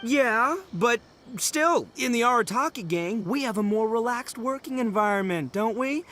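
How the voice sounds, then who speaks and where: A man's voice: very high voice, expressive, normal speed, sharp tone, heavily acted. An actor playing a cocky, boisterous young male gang boss in a video game, with a bombastic, slangy way of talking. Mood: defensive. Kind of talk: animated video game voice acting. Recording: music behind the voice.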